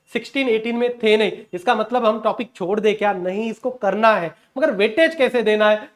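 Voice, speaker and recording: A man with an Indian accent lecturing as an exam coaching teacher, instructional, mixing in English terms and often checking in with short tag words. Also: extremely high pitch. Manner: instructional, punctuates points with check words like "okay" and "right"; mixes English terms into speech.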